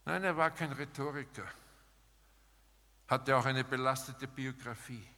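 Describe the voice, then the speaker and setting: A male preacher delivers a sermon at a slow, deliberate pace with a medium-pitched voice. He speaks emphatically and rhetorically, breaking his thoughts into short, weighted phrases. His words ring out with long reverb in a large hall. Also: steady tone, sharp tone. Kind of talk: sermon with dramatized paraphrase, closing in prayer.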